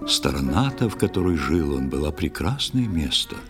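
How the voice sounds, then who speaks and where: A man narrating a poetic old tale for a children's audio play in a measured, expressive way, with drawn-out phrases. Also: extremely low pitch. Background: music.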